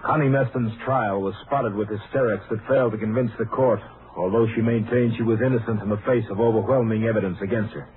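A man's voice: lowish voice, fairly even intonation, quick pace. A man giving formal scripted narration in the style of a 1950s radio drama. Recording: muffled, hissy old radio recording.

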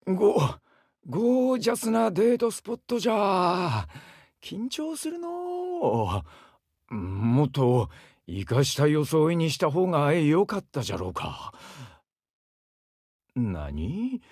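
An actor playing a brash, warm-hearted young seaman in a Japanese mobile game, with a southern Japanese dialect and a husky, gravelly, boisterous delivery. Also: fairly high pitch, wildly swooping pitch, slow pace, no room sound, studio quality, full character voice. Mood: excited, boisterous, then bashful, subdued. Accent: southern Japanese dialect, Kagoshima-like. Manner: boisterous, rustic, swinging from bashful murmurs to hearty shouts. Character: brash, warm-hearted young muscular seaman, eager big-brother type.

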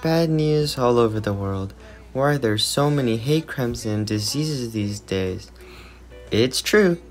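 A man's voice: mid-range voice, expressive, slow, soft background music. Earnest man as a puppet show character. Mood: troubled. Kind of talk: scripted children's puppet show dialogue.

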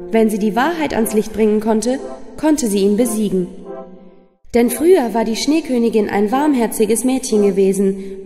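A woman's voice: a little high, mellow, long reverb tail. A woman narrating a scripted fairy tale for children as a storyteller. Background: music.

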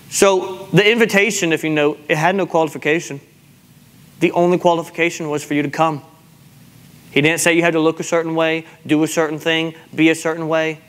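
A man's voice: on the high side, a bit bright. A man preaching a sermon in an emphatic, exhortatory manner, repeating phrases for weight. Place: large church sanctuary, speaking from the pulpit to a seated congregation.

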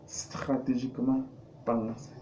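A man's voice: medium pitch, level tone, slow. A middle-aged man talking as a coach, explaining conversationally with examples and rhetorical questions. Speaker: business coach and consultant. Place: small indoor studio office. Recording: muffled, dark, noisy room recording.

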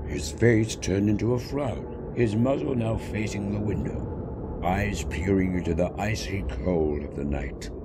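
Low-voiced man narrating over loud noise. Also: a little slow. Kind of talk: scripted story narration. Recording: loud ambient noise behind voice.

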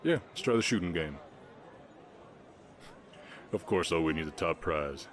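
A man playing a shy, gentle orc cowboy with a slight Southern accent and hesitant delivery in a roleplay audio drama. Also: deep voice, expressive, a little slow, slight background noise. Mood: gentle, easygoing. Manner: hesitant, stammering, bashful. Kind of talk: roleplay audio drama, soft ASMR acting.

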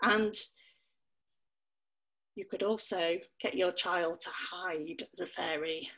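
A middle-aged woman leading an online parenting webinar on storytelling from a small room at home, speaking through a laptop webcam with an expressive, playful delivery that leaps up in pitch for emphasis. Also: takes it slowly, low-quality recording. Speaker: storytelling workshop leader. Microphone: laptop webcam, video call.

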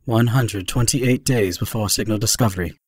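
A man voicing an obedient, courteous base computer in a science fiction audio drama, formal and measured. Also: on the low side, a little hiss or hum, close to an everyday voice.

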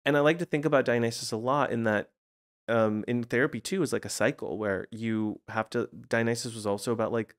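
A man's voice: mid-range voice, fairly even intonation, a little fast. A man in his forties from the Los Angeles area, whose first language was Croatian, talking as a guest in a casual interview in a reflective, meandering way with many fillers. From United States (Los Angeles area). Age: 40s. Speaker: guest, art therapist.

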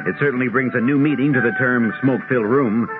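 A man's voice: mid-range voice, quick pace. A man reading a punchy scripted radio advert in a wry manner. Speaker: advert announcer. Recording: tinny vintage radio broadcast. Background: music.

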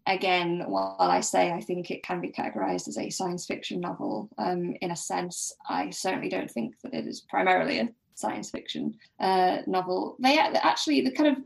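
Hesitant woman with a creaky voice. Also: lowish voice, moderate pace, few pauses, rough recording. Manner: hesitant, thinking aloud, self-questioning. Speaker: podcast guest. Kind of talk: casual book discussion.

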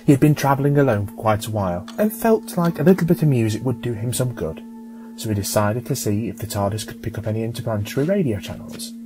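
A man reading a scripted story as an audiobook narrator, in a measured, dramatic storytelling manner. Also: mid-range voice, animated, music in the background.

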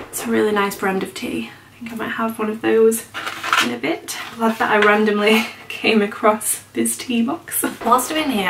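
A woman in her thirties with a British accent, chatting casually and informally to camera as a home vlogger. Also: mid-range voice. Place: indoors in a home kitchen and small utility room. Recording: echoey room, distant mic, noisy background.

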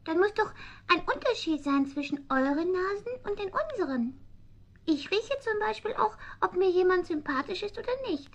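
A woman's voice: very high voice, lively intonation, moderate pace. A woman playing a nosy, cheeky talking tomcat in a children's radio play, with an inquisitive, childlike, persistent manner. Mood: curious, pert. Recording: dull old vinyl record transfer.

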